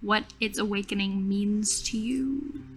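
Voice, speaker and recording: A woman reading scripted tabletop game narration in a solemn, measured storytelling manner. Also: medium pitch, poor audio. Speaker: game facilitator reading ritual text. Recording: music playing under the voice.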